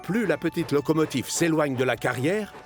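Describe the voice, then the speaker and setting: Expressive male storyteller over music. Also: fairly high pitch, normal speed. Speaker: narrator. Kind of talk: scripted children's storytelling narration. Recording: music under the voice.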